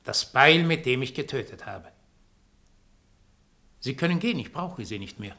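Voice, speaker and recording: Cold doppelgänger Diego, hard-edged voice. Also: male voice, medium pitch, lively intonation, sharp tone. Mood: imperious. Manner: deliberate, imperious, coldly philosophical. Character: cold, menacing, manipulative male doppelgänger Diego. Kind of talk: radio drama acting.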